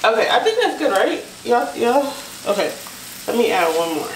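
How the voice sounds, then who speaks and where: High-voiced American woman in an echoey kitchen. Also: very animated, moderate pace. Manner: informal, unscripted, thinking aloud. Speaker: home cook vlogger. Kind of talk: casual chat while cooking. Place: home kitchen, indoors. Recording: echoey kitchen, noisy stove sizzle. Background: sizzling pan, clicks, stirring.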